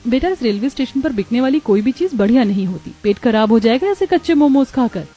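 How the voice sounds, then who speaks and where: Woman voicing a mellow-toned, fussy mother. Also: on the high side, animated, quick pace, soft background music. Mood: disapproving. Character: fussy, then delighted mother customer at a station. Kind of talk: cartoon story character voice.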